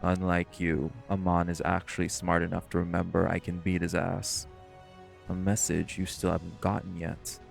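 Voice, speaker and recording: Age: adult. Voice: very deep, gravelly male actor as stern shifter. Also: completely flat delivery, normal speed, soft background music. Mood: exasperated. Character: stern, protective male marine shifter, bossy diving leader. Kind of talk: scripted audio roleplay acting.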